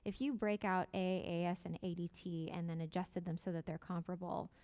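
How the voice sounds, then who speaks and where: A woman's voice: fairly low pitch, little pitch movement, brisk, darkish tone. A woman board member asking friendly, inquisitive questions with a light, joking touch.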